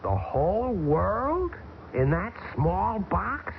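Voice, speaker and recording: A man's voice: high-pitched, sing-song, drawn-out words, vocal fry, exaggerated voice. An actor playing a bewildered, skeptical man who stammers and questions everything in an old radio drama. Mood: alarmed. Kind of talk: 1970s radio drama acting. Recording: tinny old radio broadcast with hiss.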